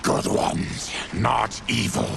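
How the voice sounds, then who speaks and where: Deep gravel-throated mutant warrior. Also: male voice, slow pace, very noisy, heavily acted. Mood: grave, calm. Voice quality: gravelly, growling. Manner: terse, solemn, commanding. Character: gruff, honorable male Tarkatan mutant warrior. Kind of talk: video game cinematic acting.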